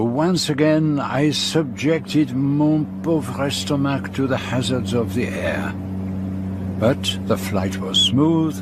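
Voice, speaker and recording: An actor playing a courteous, fastidious detective in a radio drama, measured and precise, with a French-speaking Belgian accent. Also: male voice, mid-range voice, heavy background noise, close to an everyday voice. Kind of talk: radio drama dialogue and narration.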